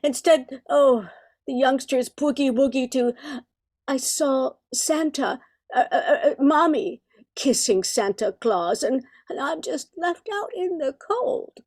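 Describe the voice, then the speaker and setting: A woman acting a high-voiced, fretful Christmas carol spirit. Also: expressive, takes it slowly, a little mellow. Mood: bitter, nostalgic. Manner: genteel, lamenting. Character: wistful, fretful female Christmas carol personified, nostalgic and prim. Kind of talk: amateur old-time radio comedy acting.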